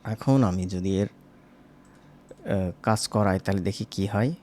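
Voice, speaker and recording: A man teaches software in a casual, informal way, speaking directly to viewers as a tutor. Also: low-pitched, slow pace. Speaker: animation tutor.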